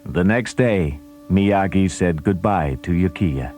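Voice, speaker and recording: A man's voice: deep voice, takes it slowly, darkish tone, soft background music. A man narrating a children's story recording from a script in a dramatic storytelling style.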